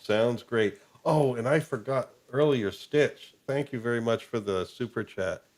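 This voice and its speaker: A man's voice: medium pitch, measured pace, darkish tone. A man hosting a live stream in casual chat, talking in a hesitant, rambling, appreciative way.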